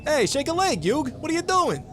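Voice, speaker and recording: A man's voice: extremely high pitch, big pitch swings, a little fast, a bit bright, faint music behind, pitched above the performer's own voice, heavily acted. A man voicing a brash, dim but lovable teenage boy sidekick who blurts out his lines. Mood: impatient.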